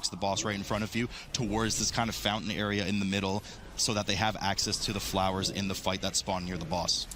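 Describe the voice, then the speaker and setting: A man's voice: deep voice, flat delivery, a little fast, noisy background. A man giving live, analytical play-by-play commentary on an esports match, explaining as he goes.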